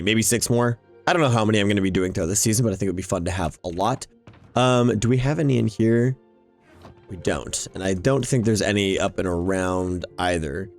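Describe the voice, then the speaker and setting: A man giving casual, enthusiastic gameplay commentary, chatting and rambling as he hosts. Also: fairly low pitch. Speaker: video game YouTuber, host. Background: background music.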